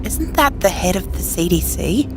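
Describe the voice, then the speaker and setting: Woman playing an anxious survivor, lively pitch. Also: moderate pace, creaky, over music. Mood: worried. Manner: worried, questioning. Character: anxious, naive female survivor. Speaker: actor in an audio drama. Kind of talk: dystopian audio drama acting.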